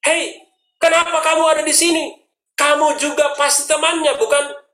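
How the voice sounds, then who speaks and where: Accusing bystander voiced by a man, very high and sharp, echoey room. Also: flat delivery, moderate pace. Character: suspicious bystander man confronting a stranger.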